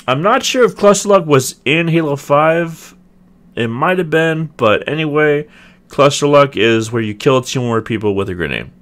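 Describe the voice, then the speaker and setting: A young man with a slightly raspy voice, casually reviewing a video game and hedging often. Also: medium pitch, fairly bright tone. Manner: rambling, hedging, many ums and restarts. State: clearing throat, voice getting raspy. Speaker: gaming video host. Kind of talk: casual gameplay review commentary.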